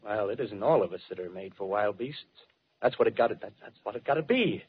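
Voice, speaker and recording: A dull, muffled old radio recording of a fanatical American soldier. His voice is husky and his talk is rough, clipped and insistent. He is a scheming survivor in a 1930s radio play. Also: lowish voice, expressive, a little fast. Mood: fierce, insistent. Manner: colloquial tough-guy talk, clipped phrases, insistent.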